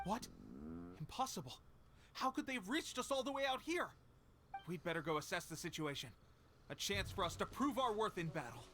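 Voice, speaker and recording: An actor playing an eager, self-important resistance soldier hungry for glory in a video game. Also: male voice, high voice, sing-song, brisk, long pauses, fairly bright tone. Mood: alarmed, resolute.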